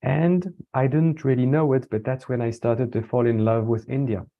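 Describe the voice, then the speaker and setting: A man from France giving a casual online talk, relaxed and hesitant, with many fillers and restarts. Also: mid-range voice, normal speed. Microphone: computer mic on a video call. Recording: dull lo-fi video call audio.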